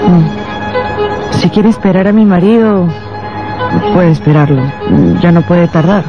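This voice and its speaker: An actress playing a guarded, anxious wife in a radio drama, hesitant and strained, in slightly dull audio. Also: female voice, on the low side, a little slow, natural voice. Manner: hesitant, repeating words under strain. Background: faint music.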